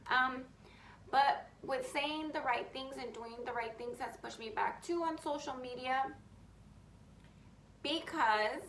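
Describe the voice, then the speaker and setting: A young woman vlogs from her bedroom with a deep, raspy, gravelly voice that sits a touch high for her. She talks openly and a bit rambly, leaning on fillers like "you know" and "like". The room rings with echo, over a faint hum of ambience. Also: slow, pauses often, fairly bright tone. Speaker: beauty influencer. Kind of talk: candid sit-down vlog to camera. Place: bedroom at home, indoors. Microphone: camera in front at close range.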